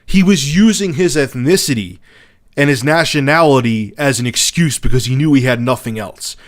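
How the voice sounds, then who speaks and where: A man's voice: medium pitch, moderate pace. A man ranting on his own channel in a heated, profane way, often restarting his sentences. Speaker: YouTuber. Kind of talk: opinion vlog rant.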